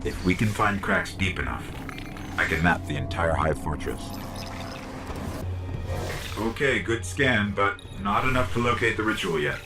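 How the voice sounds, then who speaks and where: A small robot scout from a sci-fi game, inquisitive and wry. The voice sits low and swings widely in pitch, with deadpan asides. Loud music plays behind it. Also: male voice, measured pace, long pauses. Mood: focused, curious. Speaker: guide companion. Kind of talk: cinematic video game voice acting.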